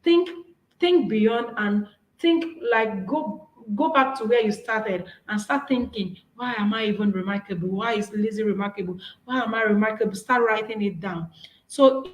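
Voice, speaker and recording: A woman's voice: medium pitch, normal speed, roomy sound, rough recording. A woman with a Nigerian accent, whose first language is Yoruba, giving an earnest, encouraging workshop talk with slides, often restarting her phrases. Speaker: speaker.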